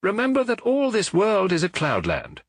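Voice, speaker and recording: Audiobook role: male reader as a blunt, sneering sleuth, brisk, challenging, lively rising pitch. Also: no room sound, studio quality. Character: fierce, impatient male detective, blunt and sneering.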